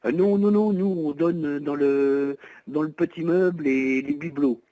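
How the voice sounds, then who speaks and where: A man's voice: fairly high pitch, unhurried, mellow, dry recording, rough recording. A man explaining as a private seller on the phone, hesitant, wordy and polite. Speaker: private seller of a used van. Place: at home, on the phone. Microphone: telephone.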